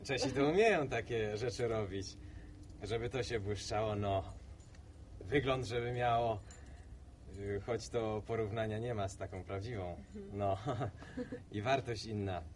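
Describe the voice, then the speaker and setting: A man's voice: fairly low pitch, measured pace, slight background noise, close to an everyday voice. An actor playing a self-assured, slightly condescending city man visiting the countryside in a radio play. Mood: smug.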